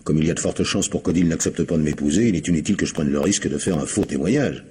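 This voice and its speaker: A venal, indebted painter in a vintage radio thriller. His voice is deep, dark and very husky. He talks in a wry, slightly hesitant way, slyly going along. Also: rapid-fire, full character voice. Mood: wary. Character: venal, debt-ridden painter, wryly compliant suitor. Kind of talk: vintage French radio mystery acting.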